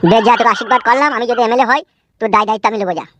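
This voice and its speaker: High, rapid-fire scheming village politician, acted by a woman. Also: a bit bright, dry recording, lightly acted. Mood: wary. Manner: crude, quick to scold. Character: scheming, hot-tempered village politician hungry for office. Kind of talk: comedy cartoon dubbing.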